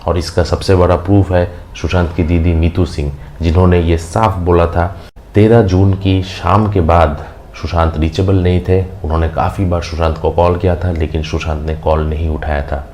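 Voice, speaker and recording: A man's voice: low-pitched, fairly even intonation, moderate pace, darkish tone. A man with an Indian accent giving opinionated news commentary as a host, emphatic and repetitive. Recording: faint background noise.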